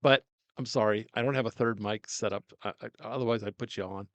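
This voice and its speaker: Older male host, gravelly, loud and coaxing, dry close mic in a small studio. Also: fairly low pitch, steady tone, quick pace, no echo. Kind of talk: casual interview. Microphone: directional studio mic.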